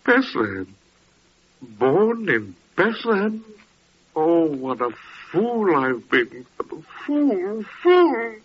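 A man's voice: sits high, wildly swooping pitch, slow pace, pauses often, breathy edge, full character voice. An actor playing an anguished Persian wise man on a quest, grave and theatrical in archaic English, in an old-time radio drama. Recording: dull vintage radio recording.

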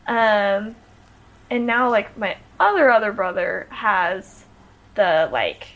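Young woman with a gravelly voice. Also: mid-range voice, lively intonation, takes it slowly, narrow, tinny audio. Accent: American. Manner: chatty, laughs often, many "like" fillers. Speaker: podcast guest, musician. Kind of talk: casual chat.

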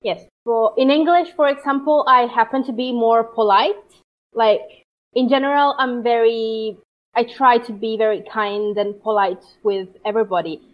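An Italian woman with a fairly high voice speaks English as a second language, feeling for her words and restarting sentences. The audio is a little dull and muffled. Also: fairly even intonation, normal speed. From Italy. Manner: hesitant, many restarts. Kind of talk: casual conversation.

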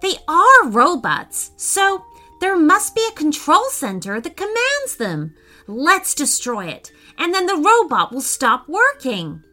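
Woman voicing an excitable, high-pitched clever boy adventurer. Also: unhurried, faint music behind, exaggerated voice. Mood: eager. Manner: eager, exclamatory. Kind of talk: children's storybook character voice.

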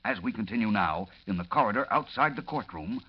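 A man's voice: fairly low pitch, lively intonation, brisk, slightly rough. A man performing as the announcer of a 1940s radio superhero serial, narrating in a dramatic scripted style. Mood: dramatic, urgent. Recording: dull old radio broadcast recording.

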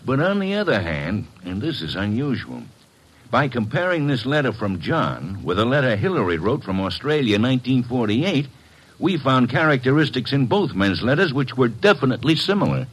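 An actor playing a gruff, plain-spoken police inspector in an old radio crime drama, blunt and matter-of-fact. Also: male voice, mid-range voice, sing-song, moderate pace, low-quality recording.

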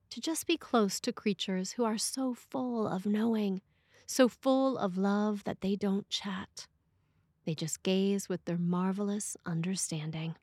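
A woman's voice: mid-range voice, no room sound. Woman reading a sermon, dry close sound. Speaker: preacher reading a written sermon.

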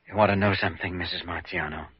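An earnest, kindly American doctor with a gentle bedside manner. His voice is low and a little husky, moving expressively through each line. The performance is old radio drama, on a muffled recording. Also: steady tone, fast talker. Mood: gentle, serious.

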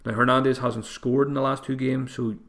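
A man with a voice growing hoarse talks through his picks on a solo podcast. He thinks out loud, often restarting phrases. His pitch sits in the ordinary middle range. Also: little pitch movement, a little fast. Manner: thinking aloud, frequent restarts and fillers. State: voice getting hoarse. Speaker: podcast host. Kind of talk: solo podcast advice talk.

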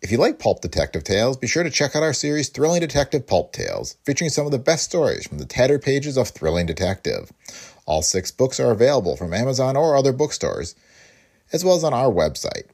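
A man's voice: medium pitch, big pitch swings, brisk, darkish tone. A man hosting a podcast, reading a scripted introduction. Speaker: podcast host.

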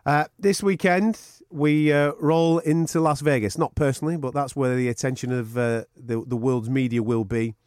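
A man's voice: mid-range voice, steady tone, normal speed, few pauses, a little mellow, dry recording, studio quality. A man hosting a boxing podcast in casual chat, chatty and swearing freely.

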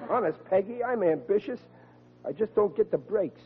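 A man's voice: high voice, animated, moderate pace, some chatter behind. An actor playing an earnest, dim-witted young man with a naive manner in an old-time radio comedy. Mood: glum. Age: young adult. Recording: tinny vintage radio recording with hum.

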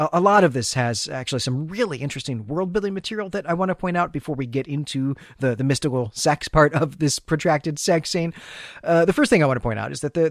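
A man's voice: a little high, dry recording, studio quality. A man co-hosting a podcast, explaining a story conversationally and thinking aloud with asides. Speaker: podcast co-host. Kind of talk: conversational literary recap and analysis.

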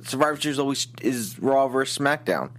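A man with a mid-pitched, ordinary voice vents his frustration over wrestling storylines. He talks loosely, with plenty of "come on" and "who cares" asides. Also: expressive, fairly quick, fairly bright tone. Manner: exasperated, ranting about booking. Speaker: wrestling recap co-host. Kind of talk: casual opinionated chat.

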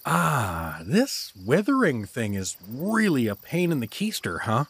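Man as a husky, flustered everyman hero. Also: mid-range voice, wildly swooping pitch, measured pace, fairly bright tone, exaggerated voice. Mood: flustered, friendly. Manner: hesitant, folksy, flustered. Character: bumbling, apologetic married everyman hero, good-natured and flustered. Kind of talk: fantasy comedy audio drama acting.

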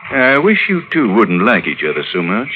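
An actor playing a meek, flattering married lawyer with courtly, deferential manners in an old-time radio drama. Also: male voice, fairly low pitch, normal speed, a little hiss or hum. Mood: flattering, evasive. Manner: courtly, deferential, clipped replies. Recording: muffled, phone-like vintage radio recording.

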